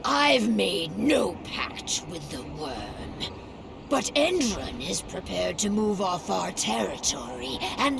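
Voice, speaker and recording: A woman playing a proud, solemn werewolf tribe leader in a video game cutscene, speaking gravely with breathy, half-whispered phrases. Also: lowish voice, background noise, exaggerated voice. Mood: grim, defiant.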